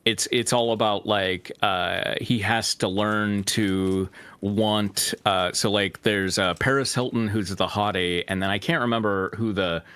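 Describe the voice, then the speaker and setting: Low-voiced man in rambling casual chat. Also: steady tone, fairly bright tone, clean tone, dry recording. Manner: rambling, halting. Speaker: co-host of a fanfiction reading show.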